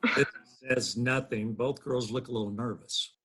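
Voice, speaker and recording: A man with a husky voice reading scripted narration of stage directions. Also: medium pitch, moderate pace, noisy background. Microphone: video call.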